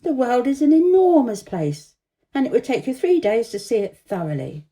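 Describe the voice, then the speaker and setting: A big, self-assured male storybook firework, put on by a woman, emphatic and opinionated. The tone is very mellow, and the pitch moves boldly up and down as he pontificates. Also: big pitch swings, normal speed. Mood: assertive.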